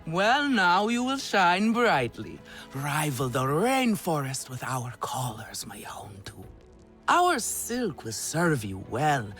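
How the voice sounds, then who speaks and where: A man's voice: fairly high pitch, big pitch swings, takes it slowly, fairly bright tone, heavily acted. A man playing a flamboyant, doting alien clan elder who loves silk, in theatrical game cutscene style with music behind him. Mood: encouraging. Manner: theatrical, effusive. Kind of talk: video game cutscene acting.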